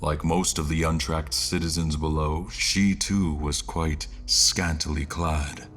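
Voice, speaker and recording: A man's voice: very deep voice, little pitch movement, slow pace, fairly bright tone, exaggerated voice. A man playing a wry crew member who narrates a scripted fantasy audio drama in ornate, descriptive language. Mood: admiring. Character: male crew member narrating his memories, literary and wry. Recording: prominent music behind. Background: music.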